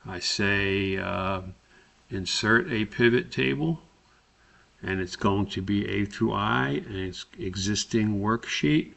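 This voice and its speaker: A man with a low, creaky voice walks through a software tutorial step by step. He speaks informally and haltingly, thinking aloud at an unhurried, slow pace. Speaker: tutorial presenter. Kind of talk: informal software screencast walkthrough.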